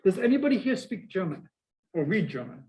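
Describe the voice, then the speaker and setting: A middle-aged man with a Boston accent giving a university math lecture, lively and full of asides and questions to the class. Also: high-pitched, a little fast. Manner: energetic, prone to asides and questions to students. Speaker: math professor.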